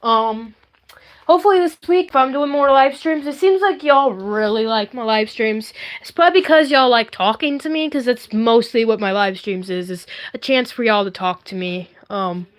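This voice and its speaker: High-voiced woman chatting playfully on a livestream. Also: moderate pace. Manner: rambling, playful, silly. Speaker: livestream host. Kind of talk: casual chatty livestream, showing handmade puppets.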